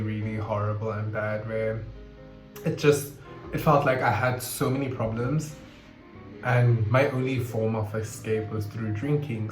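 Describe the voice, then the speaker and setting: A young man, chatting casually to camera in an animated way and breaking into sudden high squeals. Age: 20s. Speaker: vlogger. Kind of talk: casual confessional chat to camera. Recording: roomy echo, faint guitar music behind. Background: faint guitar music.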